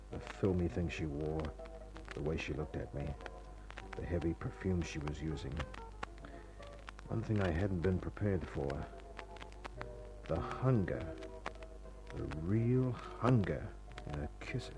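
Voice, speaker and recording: Low-voiced hard-boiled radio detective. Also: male voice, measured pace, pauses often, rough recording. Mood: dry, reflective. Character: tough, cool male private detective hired as bodyguard. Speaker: actor playing the lead and narrating. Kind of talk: old-time radio detective drama. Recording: old radio recording, music behind.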